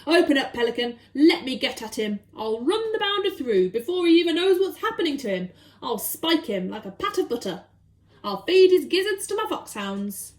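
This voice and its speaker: A woman's voice: sits high, very animated, normal speed. A woman voicing a blustering, pompous old aristocratic Duke who barks out exclamations in a children's storybook. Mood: furious, blustering. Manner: pompous, exclamatory, barking orders.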